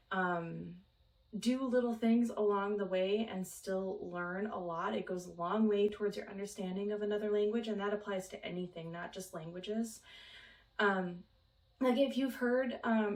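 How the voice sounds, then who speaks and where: A woman's voice: medium pitch, a little mellow, recorded from a distance. A young woman from Minnesota chats about her own life in an easygoing vlog. She rambles, fills gaps with ums and laughs lightly now and then. Her voice sits back from the mic, with a roomy ring around it. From Minnesota, United States. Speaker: vlogger. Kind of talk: casual chat.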